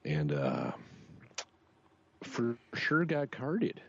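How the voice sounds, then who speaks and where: A man's voice: mid-range voice, wildly swooping pitch, very slow, vocal fry, dull audio. A man in his late thirties co-hosting a podcast, telling casual anecdotes in a wry, profane way. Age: late 30s. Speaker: podcast co-host. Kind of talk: casual chat, swearing anecdotes.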